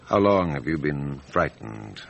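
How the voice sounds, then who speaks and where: An actor playing a courteous, probing examining magistrate in a radio drama, questioning formally and deliberately with small hesitations. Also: male voice, extremely low pitch, sing-song, gravelly, fairly bright tone, slight background noise, heavily acted. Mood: probing, insistent.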